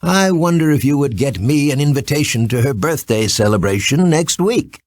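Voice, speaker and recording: A man's voice: fairly high pitch, expressive, normal speed, darkish tone, dry recording, voice pushed up. A man voicing a genial, courteous fox king in a children's storybook, with a grand, exclaiming manner. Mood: grand, eager.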